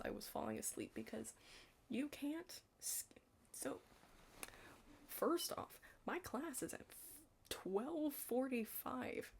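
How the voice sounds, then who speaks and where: A young woman chatting casually in an ASMR study roleplay, rambling and hesitant, hushed and slipping into whispers. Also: a little high, creaky, a little hiss or hum. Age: young adult. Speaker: ASMR creator playing a study partner.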